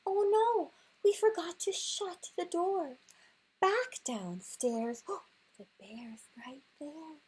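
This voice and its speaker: A woman's voice: extremely high pitch, expressive, slow pace, a little mellow. A woman reading a picture book aloud to young children, acting out sound words expressively and chatting to her listeners. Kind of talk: animated read-aloud with asides to young listeners.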